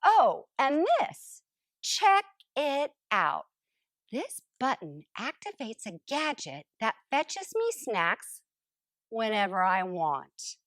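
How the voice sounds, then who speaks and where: Woman acting out a self-satisfied lazy potato, storybook character, theatrical, very animated pitch, unhurried. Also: no echo, clean studio sound, full character voice. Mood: smug, boastful. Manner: theatrical, exclamatory, drawn-out emphasis. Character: smug, lazy, screen-loving couch potato, showing off. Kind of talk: expressive first-person picture book read-aloud.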